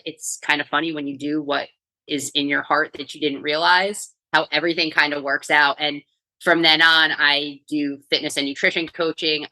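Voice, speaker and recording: A middle-aged woman from New York with a low voice and a bright, forceful edge. She tells her story in a chatty, energetic way as a podcast guest. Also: poor audio. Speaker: guest, coach and author. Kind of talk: casual podcast interview.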